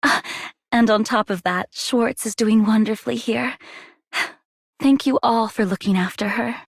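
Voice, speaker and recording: Refined, high-voiced young scholar. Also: female voice, a little slow, gravelly, no room sound, studio quality. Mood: grateful, reflective. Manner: polished, formal. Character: refined, learned young woman, gracious and grateful. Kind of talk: scripted game character monologue.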